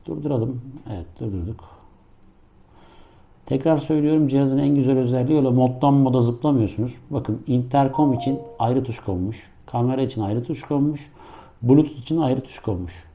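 A man with a very dark, muffled, mellow voice at a medium pitch talks through a gadget review. He explains each step informally, making it up as he goes. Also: fairly quick. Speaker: product reviewer. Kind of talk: casual hands-on gadget review. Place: indoor room.

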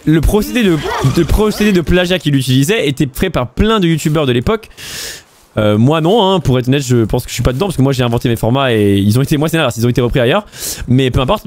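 A man's voice: medium pitch, no echo. A man hosting a stream, chatting casually while he plays a game, rambling with repeated words and fillers and cursing freely. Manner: swears casually. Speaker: streamer host. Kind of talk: casual chat while gaming.

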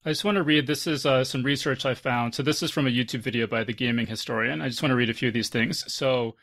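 A man's voice: medium pitch, fast talker, a bit bright. A man hosting a panel discussion in a casual, conversational way. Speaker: podcast host.

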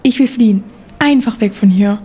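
A woman's voice: medium pitch, normal speed, warm tone, soft background music, lightly acted. A woman playing a determined, trusting young woman in an audio drama. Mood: urgent. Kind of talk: amateur radio play acting.

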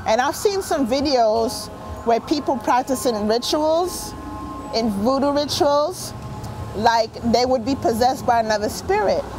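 A woman's voice: sits high, lively intonation, unhurried, poor audio. A woman in her forties, a native Haitian Creole speaker, talks earnestly and reflectively in a documentary interview on a city sidewalk. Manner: reflective, earnest, gesturing with hands. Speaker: performance artist. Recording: music bed under the voice.

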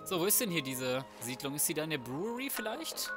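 A man plays a video game and comments casually in a fairly high voice. He is slangy and cocky, often talking half to himself. Clear game music runs behind him. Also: big pitch swings, brisk. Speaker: let's player. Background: video game music and sounds.

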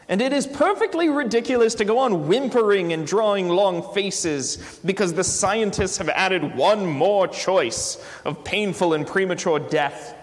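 Guy doing a stoic british essayist, theatrical put-on british accent, highish voice, echoey church. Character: eloquent British man of letters, brisk and stoical. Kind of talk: dramatic reading of an essay. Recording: reverberant church hall.

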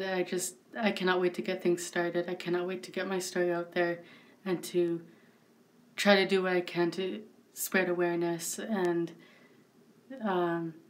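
A woman in her thirties chatting casually on her vlog with a stuffy nose, talking into a phone camera at arm's length in a small bedroom. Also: on the low side. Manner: chatty and excitable, laughs often. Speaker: YouTuber.

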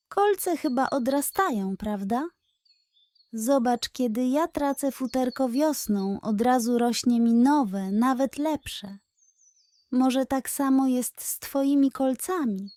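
A woman's voice: sits high, expressive, slow, darkish tone, dry recording, clean studio sound, voice pushed up. A woman voicing an optimistic little female squirrel, kind and comforting, in a children's bedtime story.

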